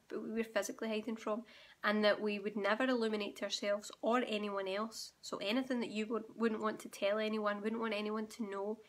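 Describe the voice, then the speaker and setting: Croaky woman teaching to camera. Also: mid-range voice. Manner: gentle, explanatory, addressing viewers directly. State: croaky, sore throat. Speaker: spiritual awakening guide and channel host. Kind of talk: direct-to-camera teaching monologue.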